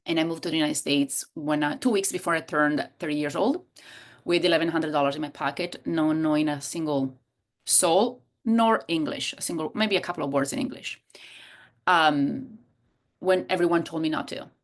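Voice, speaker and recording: A woman's voice: sits low, normal speed. A woman in her thirties from Italy with an Italian accent, talking expressively as an interview guest and sometimes searching for words. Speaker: guest, coach and hypnotherapist. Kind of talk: casual interview conversation.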